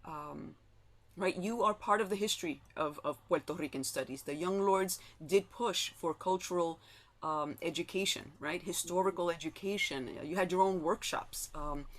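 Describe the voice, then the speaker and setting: A woman's voice: on the low side, normal speed. A woman moderating a panel discussion, whose first language was Spanish, talking with many fillers and a habit of ending points with "right?". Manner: frequent "um" and tag "right?".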